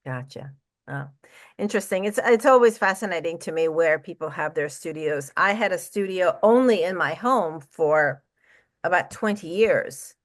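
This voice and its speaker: A woman's voice: lowish voice, lively intonation, moderate pace. A middle-aged woman chatting casually as an interviewer, reacting readily to what her guest says. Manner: reactive, frequent interjections and chuckles. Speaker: interviewer, fellow artist.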